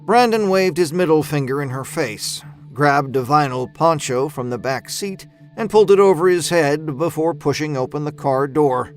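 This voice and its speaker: A man reading horror fiction as a scripted audiobook-style narration. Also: on the high side. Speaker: storyteller. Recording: music behind the voice.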